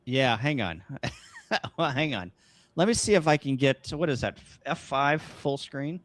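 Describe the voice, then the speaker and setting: An American man hosting a casual livestream, talking through ideas in halting, short phrases. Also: medium pitch, lively intonation, no room sound. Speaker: host of a ham radio livestream. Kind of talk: casual livestream brainstorming talk. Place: upstairs room at home, kitchen table.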